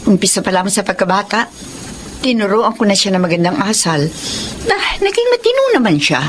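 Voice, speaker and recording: A woman's voice: mid-range voice, very animated, a little fast, slight background noise, lightly acted. A woman with a Filipino accent acting an older, moral, saddened mother in a radio drama. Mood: sad, resigned. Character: older mother, resigned, moral, sad over wayward son. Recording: clear music bed under voice.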